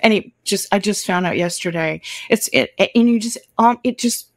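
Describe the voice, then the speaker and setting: Gravelly older woman, halting. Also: mid-range voice, no echo, clean studio sound. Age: 70s. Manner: halting, trailing off softly. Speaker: guest author. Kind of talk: casual interview chat. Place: home room. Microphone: video call webcam.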